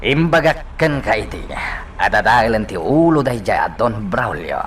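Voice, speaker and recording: A Filipino man playing a suspicious, brooding congressman in a radio drama, speaking deliberately and dramatically. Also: fairly low pitch, very animated, slight background noise.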